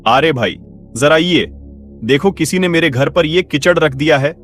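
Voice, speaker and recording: A man's voice: a little high, unhurried, a bit bright, faint music behind. A man voicing an indignant, blustering village householder in a cartoon story.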